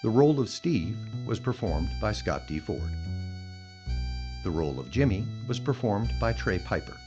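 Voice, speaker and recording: A man reading scripted credits as an announcer in a measured, formal way. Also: on the low side, sing-song, dull audio. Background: music.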